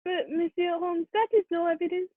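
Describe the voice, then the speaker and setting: A woman's voice: very high-pitched, fairly even intonation, normal speed, no echo. A woman playing a formal, authoritative male French police prefect in a 1940s-style radio play. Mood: formal, questioning. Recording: tinny, noisy phone-like line.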